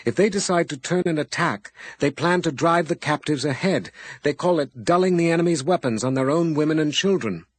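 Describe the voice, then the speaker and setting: A man reading a desperate young mother in an audiobook, her lines pitched up and pleading. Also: a little fast, no room sound, natural voice. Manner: raised pleading, breaking into wails.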